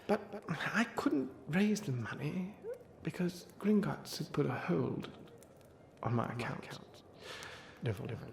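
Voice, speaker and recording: A man's voice: fairly high pitch, sing-song, unhurried, gravelly, full character voice. A man playing a befuddled old wizard who mumbles and rambles through tall tales in a comic ASMR roleplay, trailing off into muttered asides. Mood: befuddled reminiscing. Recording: roomy echo, faint background noise and ambience.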